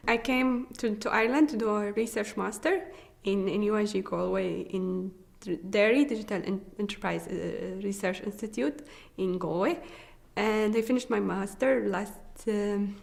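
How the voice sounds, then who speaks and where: A young woman from Syria with a Syrian Arabic accent and Arabic as her first language, a research assistant answering interview questions in halting English with many fillers and restarts. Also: medium pitch, gravelly, a little mellow. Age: young adult.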